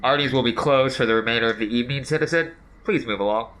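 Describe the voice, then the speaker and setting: A man's voice: mid-range voice, fast, fairly bright tone, lightly acted. A man voicing a curt, officious stormtrooper on patrol in a tabletop game, clipped and dismissive.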